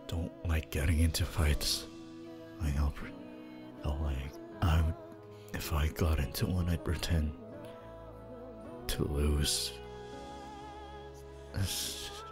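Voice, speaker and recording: Guy doing a shy awkward wolf boy for asmr roleplay, really deep and slow, soft stammery and sorry about everything, some music under it. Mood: earnest, gentle. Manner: hesitant, stammering, soft-spoken. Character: shy, awkward young male anthropomorphic wolf student, gentle and apologetic.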